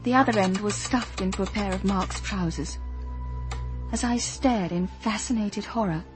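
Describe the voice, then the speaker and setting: An actress playing an earnest, observant young detective, in radio drama dialogue and first-person narration. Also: female voice, mid-range voice, normal speed, music in the background, lightly acted. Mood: tense. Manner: earnest, thoughtful, literate narration.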